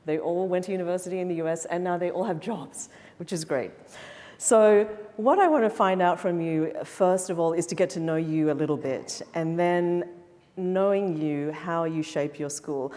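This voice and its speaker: A woman's voice: on the low side, moderate pace, mellow. A middle-aged woman moderating a panel, friendly and guiding the questions. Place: event hall before an audience. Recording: reverberant event hall.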